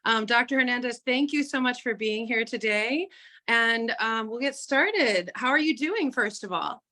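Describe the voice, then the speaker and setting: A woman's voice: fairly high pitch, expressive, normal speed, bright, forceful tone. A woman hosting an online interview in a chatty, encouraging, conversational way. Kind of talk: casual interview chat.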